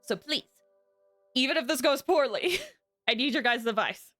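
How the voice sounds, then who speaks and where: Animated, high-voiced woman streaming games. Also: normal speed, soft background music. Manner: excitable, chatty. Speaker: game streamer. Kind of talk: casual livestream chat with viewers.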